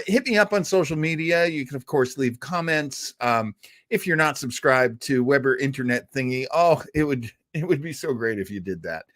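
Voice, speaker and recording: A man's voice: fairly high pitch, a bit bright. A man hosting his own show, chatting casually in a rambling, self-deprecating way. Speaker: podcast host. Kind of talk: casual chat. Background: music.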